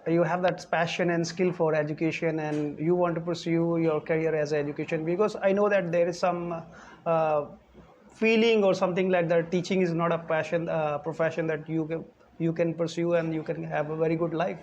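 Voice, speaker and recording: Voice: mid-range voice, steady tone, fairly quick, darkish tone. An interview host with a mid-range voice who keeps affirming the guest and echoing her words. The audio is muffled and a bit lo-fi, with faint hiss underneath. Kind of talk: interview questions.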